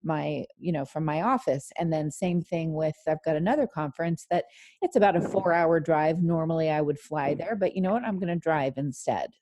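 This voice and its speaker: A woman's voice: deep voice, normal speed, dark tone, no echo. A woman co-hosting a radio talk, speaking in a chatty, earnest way with fillers.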